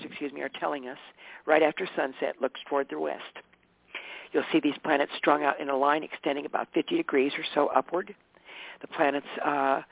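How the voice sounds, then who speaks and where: A man who sounds a touch high and gravelly, his voice worn hoarse by a long day. He chats warmly and laughs easily, stopping to fix his own words. The sound is dry and thin, as if through a telephone. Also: no echo. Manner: chatty, warm, self-correcting, laughs often. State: hoarse, tired voice. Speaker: regular guest on a talk show. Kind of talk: casual radio show chat. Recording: dry, phone-line sound.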